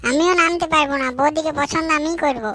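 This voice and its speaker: An eager young village lad in a comic cartoon, put on by a man, with an extremely high, squeaky voice. The sound is dry, with faint music. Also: quick pace, pushed and bright, no room sound. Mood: insistent.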